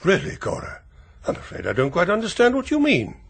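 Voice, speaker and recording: An actor playing an earnest, proper family solicitor in a radio drama, with a formal, precise, slightly hesitant lawyerly manner. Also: male voice, high-pitched, wildly swooping pitch, a little fast, creaky, husky, full character voice. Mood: shocked, unsure.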